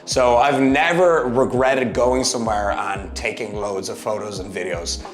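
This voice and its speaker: A man of thirty, giving an emphatic motivational vlog talk, swinging from loud bursts to low asides. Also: fairly even intonation, a little slow. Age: 30s. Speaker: fitness YouTuber. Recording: echoey room with faint music. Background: faint background music.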